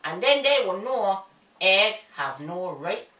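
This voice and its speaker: A woman's voice: mid-range voice, very animated, takes it slowly, pushed and bright, heavily acted. A woman with a Barbadian accent playing a gossipy, self-righteous older cleaner, telling tales about her neighbours in a sly, scornful way. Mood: knowing. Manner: gossiping, sly and scornful, dialect-heavy storytelling. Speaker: comic character monologue. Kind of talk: comic character monologue to camera. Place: small indoor room, sitting on a chair. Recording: roomy echo from a distance.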